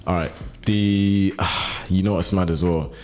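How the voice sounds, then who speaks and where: A man's voice: low voice, steady tone, measured pace, a little mellow, soft background music. A young man of Ghanaian roots, co-hosting and rambling with fillers. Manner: rambling, trails off with "and whatnot". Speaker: radio co-host. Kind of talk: casual interview chat.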